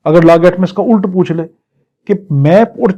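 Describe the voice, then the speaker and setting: A man's voice: sits high, measured pace, mellow, lo-fi sound. A man with a Pakistani accent giving an exam-prep law lecture, explaining didactically with questions he answers himself and mixing Urdu with English legal terms. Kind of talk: exam-prep lecture, reading statute phrases and explaining them.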